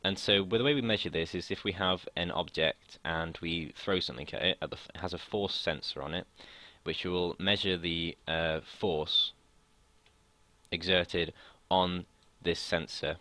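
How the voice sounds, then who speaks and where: A young man from the United Kingdom explaining a physics lesson in a casual way, his voice slightly croaky from long talking, often hesitating and restarting sentences. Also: low voice, fairly even intonation, moderate pace, pushed and bright, no echo. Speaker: student tutor.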